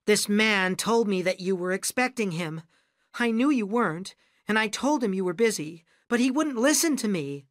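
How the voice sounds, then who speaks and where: A man's voice: medium pitch, slow pace, few pauses, pushed and bright, no echo, clean studio sound. A man voicing an anxious, apologetic male secretary in an audiobook story. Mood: anxious apology.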